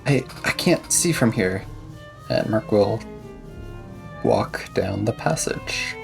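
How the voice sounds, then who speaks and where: Gravelly low-voiced man playing a tabletop game. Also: expressive, slow pace. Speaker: tabletop roleplay player. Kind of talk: casual in-character improvised roleplay. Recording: music bed behind the voice.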